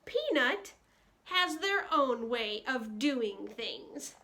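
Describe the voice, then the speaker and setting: High-pitched woman reading slowly to young children. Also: very animated, pushed and bright. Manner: addresses young children directly, playful. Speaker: guest children's storyteller. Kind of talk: picture-book story time with read-alouds and sing-along.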